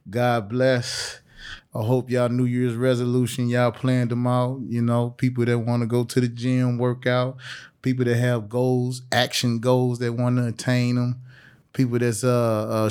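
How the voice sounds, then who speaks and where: A man hosting a casual podcast, upbeat and loose, with a raspy voice today. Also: mid-range voice, a little mellow. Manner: upbeat, says "man" a lot. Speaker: podcast host. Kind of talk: casual chat.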